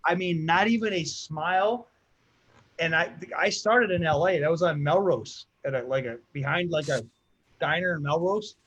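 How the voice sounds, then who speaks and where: High-voiced rambling comedian host. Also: male voice, moderate pace, fairly bright tone. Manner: rambling, repeats himself, storytelling. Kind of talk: casual chat. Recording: dull lo-fi sound.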